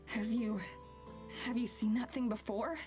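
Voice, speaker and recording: A woman's voice: a little high, fairly even intonation, measured pace, darkish tone, soft background music, natural voice. A woman voicing a shaken, incredulous young woman in a visual novel.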